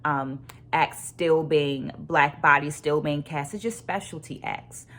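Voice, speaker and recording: A woman with a slightly low voice speaks as the host of an online book club. She presents her notes and thoughts deliberately, leaning on key phrases and repeating them for emphasis. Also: a bit bright. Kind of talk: book discussion vlog with takeaways and read quotes.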